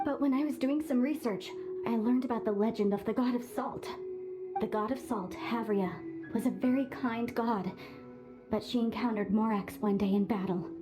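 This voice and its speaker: An actress playing a polite, curious young woman with an interest in archaeology, speaking softly and earnestly. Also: a little high, moderate pace, over music, close to an everyday voice. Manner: polite, soft-spoken, earnest. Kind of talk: video game voice acting.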